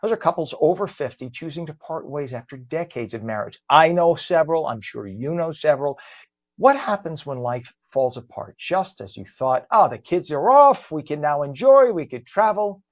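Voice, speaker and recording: A man's voice: fairly high pitch, lively intonation, normal speed, no echo. A man, hosting a live morning show from a home studio, talking through traffic and introducing a guest in a chatty, conversational way. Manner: chatty and conversational, frequent fillers.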